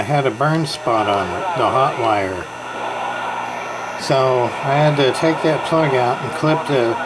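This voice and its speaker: A man giving a casual, halting, hands-on repair commentary in plain, informal speech. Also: mid-range voice, noisy background. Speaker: hobbyist repairer showing a radio repair.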